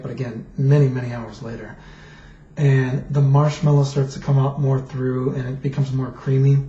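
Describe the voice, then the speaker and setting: A young man with a deep, mellow voice talks through a fragrance review at an easy, rambling pace, full of ums and restarts. His quiet desk mic sounds dull, and the room echoes around him. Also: slight background noise. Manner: rambling, many fillers and restarts. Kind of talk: casual vlog review. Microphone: quiet desk microphone.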